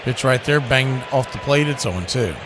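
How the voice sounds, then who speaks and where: A man's voice: medium pitch, expressive, moderate pace. A man doing live radio baseball commentary as a play-by-play announcer, with folksy, colorful phrasing. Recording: clear outdoor ambient noise behind.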